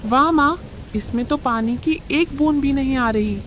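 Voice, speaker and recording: A woman's voice: sits high, unhurried, a little hiss or hum. A woman voicing a young bird child in a cartoon, full of childlike admiration. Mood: relieved, admiring. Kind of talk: Hindi cartoon voice acting.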